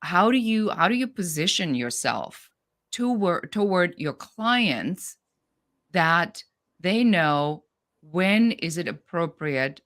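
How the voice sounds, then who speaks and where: A woman's voice: fairly low pitch, sing-song, slow pace, fairly bright tone. A middle-aged woman with a German accent, speaking as a guest on a business podcast in a direct, no-nonsense way. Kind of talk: podcast interview.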